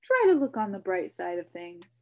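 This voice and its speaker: A woman's voice: mid-range voice, huge pitch swings, normal speed, muffled recording. A woman voicing a pompous, wordy male humbug bug who talks in grand, theatrical phrases.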